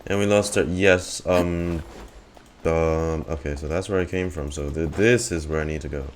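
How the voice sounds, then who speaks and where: A man's voice: extremely low pitch, moderate pace, slight background noise. A man giving casual, rambling livestream commentary on a game, thinking aloud in trailing half-sentences. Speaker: game streamer.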